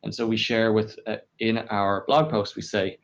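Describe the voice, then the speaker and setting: A man explaining his work in a conversational interview, measured and explanatory, as a podcast guest. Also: lowish voice, little pitch movement. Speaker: podcast guest, engineering director. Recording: dull, lo-fi podcast audio.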